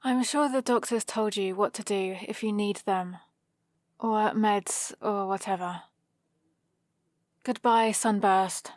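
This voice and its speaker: Slow-talking sardonic British villainess, acted by a woman. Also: medium pitch, fairly even intonation, no room sound, lightly acted. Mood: curt. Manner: dry, teasing, sarcastic. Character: sardonic female supervillain grudgingly caring for her injured hero rival. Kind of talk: ASMR roleplay, close and unhurried.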